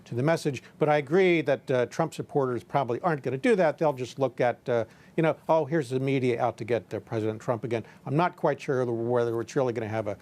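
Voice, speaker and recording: A man's voice: medium pitch, quick pace, darkish tone. A man commenting on politics as a guest in a live news discussion.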